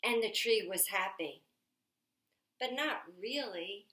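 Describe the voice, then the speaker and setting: A woman's voice: mid-range voice, animated, slow pace, bright, forceful tone, slight background noise. A woman reading a picture book aloud to children as a story time reader, adding chatty little asides. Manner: chatty asides to young listeners. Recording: roomy, echoey home-style recording.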